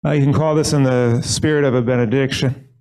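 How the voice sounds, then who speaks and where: A man serving as rabbi, leading a synagogue service and telling a short story in an earnest, measured way, speaking at a lectern microphone in a sanctuary. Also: medium pitch, steady tone, a little mellow, clean tone, low-quality recording.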